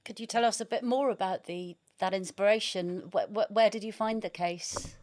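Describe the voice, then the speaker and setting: A woman's voice: mid-range voice, normal speed, a little mellow. Female radio host, middle register, for literary interview presenting.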